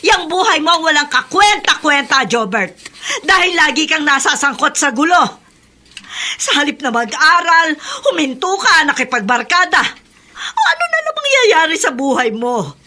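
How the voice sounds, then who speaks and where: A woman's voice: high-pitched, big pitch swings, normal speed, sharp tone, exaggerated voice. A Filipino woman playing an exasperated, scolding widowed mother in a radio drama. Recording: faint background noise.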